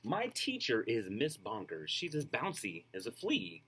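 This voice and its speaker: A man reading a children's storybook aloud, expressive and rhythmic, with casual asides. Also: lowish voice, unhurried, husky. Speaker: storybook reader.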